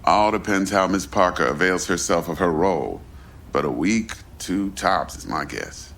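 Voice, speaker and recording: Shady fixer, very low voice, creaky gravel, calm and bossy, streetwise slang, video game drama. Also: male voice, heavily acted. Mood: smug, instructing. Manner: slow, pronouncing, streetwise slang and aphorisms. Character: big shady older fixer, calm, bossy crime middleman.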